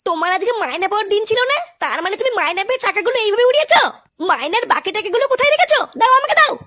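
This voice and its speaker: A woman with a West Bengal Bengali accent playing an angry, nagging wife in a comedy cartoon, shrill and accusing. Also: animated, rapid-fire, pushed and bright, heavily acted. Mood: angry, demanding.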